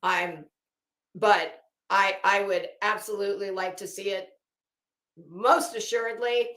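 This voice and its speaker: A woman giving informal, opinionated commentary in an emphatic, scornful manner, swearing freely and pausing dramatically. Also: medium pitch, steady tone, a bit bright, reverberant. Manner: emphatic, scornful, profane, dramatic pauses and repetition. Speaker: online commentator.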